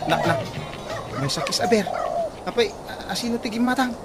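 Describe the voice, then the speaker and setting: A man playing a teasing married workmate in an Ilocano radio comedy drama, with an Ilocano accent and drawn-out interjections. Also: very high voice, over loud music. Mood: mocking. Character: teasing, married male workmate and drinking buddy. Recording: very noisy, cooing birds behind.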